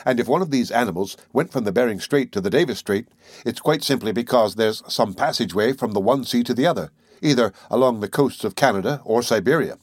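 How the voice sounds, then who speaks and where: Man playing a calm, learned naturalist professor. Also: mid-range voice, breathy edge, no room sound, studio quality, close to an everyday voice. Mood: didactic. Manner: measured, didactic. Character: learned male professor naturalist, calm, patient, gently amused. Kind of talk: audiobook character voice.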